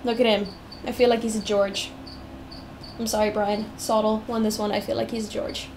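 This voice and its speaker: A woman's voice: medium pitch, moderate pace. A young South African woman with a mixed British and American-tinged accent, chatting and humming to herself as she draws on a live stream. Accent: mixed British and American-tinged English. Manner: chatty, hums to herself, meandering. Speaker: live-streaming digital artist. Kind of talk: thinking aloud while drawing on a live stream. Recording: steady noise with crickets behind.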